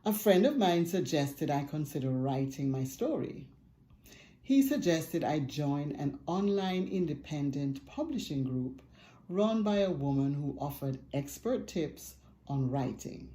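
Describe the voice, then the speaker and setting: An older woman, an author, reading a book's foreword aloud from her home study with expressive storytelling emphasis. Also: sits low, slow. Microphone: webcam at desk, close framing.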